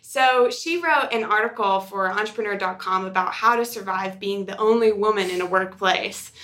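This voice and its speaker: A woman's voice: mid-range voice, moderate pace, fairly bright tone. A woman co-hosting a podcast in casual conversation, chatty and upbeat, often repeating words for emphasis. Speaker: podcast co-host.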